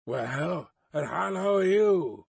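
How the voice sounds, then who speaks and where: Man acting a hoarse, slow-calling horseback messenger. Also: sits high, expressive, dry recording, voice pushed up, full character voice. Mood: blunt, insistent. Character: hoarse horseback messenger shouting through mist, blunt and dogged.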